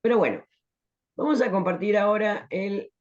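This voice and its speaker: A woman's voice: mid-range voice, moderate pace, a little mellow, dull audio. A woman teaching an online pharmacology class, explaining with rhetorical questions and tagging her points with "¿eh?".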